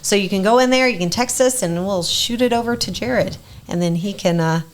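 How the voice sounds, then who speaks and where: A woman co-hosts a laid-back comedy podcast with a raspy voice she is still getting over. She chats easily, laughs often and slips into upbeat promo lines for the show. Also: medium pitch, a little fast. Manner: chatty, laughs easily, promo-style plugs. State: raspy, recovering voice. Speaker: podcast co-host. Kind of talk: casual comedy podcast chat.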